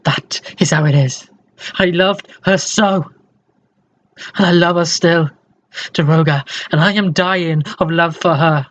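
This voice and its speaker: A man playing a dying, lovesick, tormented man in a dramatic monologue, halting and breathy, often sinking toward a whisper. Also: fairly high pitch, steady tone, a bit bright. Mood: anguished, tender. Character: dying, lovesick tormented man confessing his tender, morbid obsession. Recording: dull, slightly noisy home recording.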